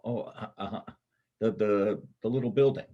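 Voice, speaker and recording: A man acts out a wounded fugitive bank robber in a stage play reading. The voice is on the low side and rough around the edges. He is rattled and defensive, stammering and rambling as he explains himself. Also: normal speed, lo-fi sound, lightly acted. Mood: dazed, halting. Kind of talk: readers' theater play acting.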